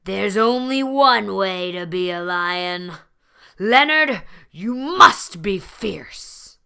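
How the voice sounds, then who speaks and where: Woman as a bullying fierce lion, growling, forceful, storybook villain, slow, swooping pitch. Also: animated, creaky, clean tone, exaggerated voice. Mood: demanding.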